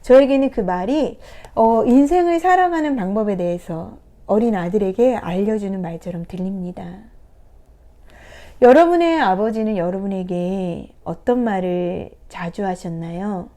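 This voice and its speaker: A woman counselor giving a reflective talk, retelling a picture book in a gentle, unhurried way with soft hesitations. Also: mid-range voice, animated. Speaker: counselor and coach. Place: recording her first video talk.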